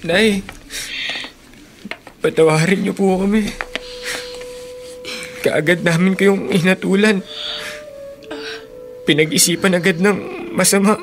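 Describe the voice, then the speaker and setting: A man's voice: high voice, steady tone, slow pace, long pauses, a bit bright, soft background music, exaggerated voice. An actor playing a remorseful son who pleads with his mother in a radio drama.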